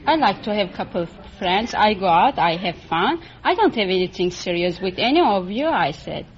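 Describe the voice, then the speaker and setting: A woman with a foreign accent, a non-native English speaker, telling her story haltingly in simple broken English as a radio contestant. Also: medium pitch, very animated, a little hiss or hum. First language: not English. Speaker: quiz show contestant. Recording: dull, muffled old radio recording.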